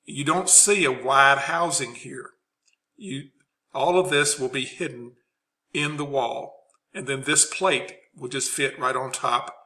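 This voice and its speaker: A man's voice: mid-range voice, sharp tone. A man giving an unscripted instructional presentation as a trainer, explaining things plainly.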